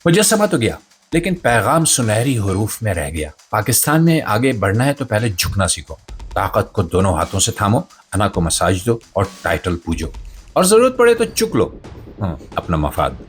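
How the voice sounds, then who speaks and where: A man's voice: on the low side, wildly swooping pitch, moderate pace, soft background music. A man hosting a satirical commentary show, delivering a scripted monologue in a theatrical, mock-solemn way.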